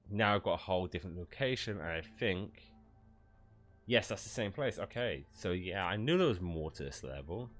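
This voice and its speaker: A man's voice: sits low, lively intonation, normal speed, fairly bright tone, soft background music. A man with a stuffy, cold-congested voice, giving rambling, casual commentary while streaming a video game and often swearing.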